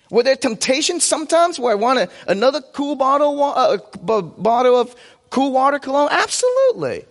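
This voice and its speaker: A man in his forties, a native Vietnamese speaker, preaching conversationally with personal stories and questions he answers himself. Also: extremely high pitch. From United States. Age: 40s. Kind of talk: sermon with personal anecdotes. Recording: long hall reverb.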